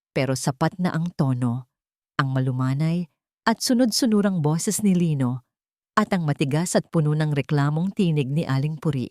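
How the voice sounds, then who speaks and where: A woman's voice: sits low, dark tone, no room sound, clean studio sound. A woman narrating a scripted dramatic story as its storyteller.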